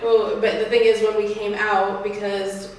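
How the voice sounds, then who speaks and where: A woman's voice: medium pitch, level tone, normal speed. A woman co-hosting a podcast who laughs easily and fills her talk with "like". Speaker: podcast co-host. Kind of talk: casual chat. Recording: echoey room, far from the mic, faint noise.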